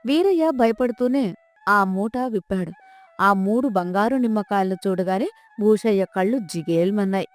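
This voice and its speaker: A woman's voice: medium pitch, normal speed. A woman narrating a scripted folk tale as a storyteller. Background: soft music.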